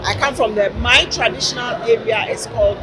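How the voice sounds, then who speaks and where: A woman from Ghana's Volta region, a guest speaking warmly and earnestly in an interview with many fillers. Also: fairly high pitch, a little fast, background noise. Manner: warm, earnest, with frequent fillers. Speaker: guest, kente weavers union director. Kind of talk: interview conversation. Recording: loud music and crowd behind.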